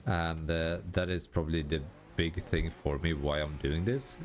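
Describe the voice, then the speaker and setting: Low-voiced Swedish man chatting over gameplay. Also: steady tone, slight background noise. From Sweden. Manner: rambling, halting, self-correcting, laughs at himself. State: tired. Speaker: gaming YouTuber. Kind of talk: casual commentary while gaming.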